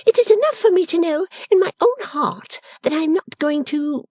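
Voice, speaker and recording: A woman voicing a sulky, haughty young daughter with a high, disdainful tone. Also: animated, moderate pace, darkish tone, no echo, clean studio sound.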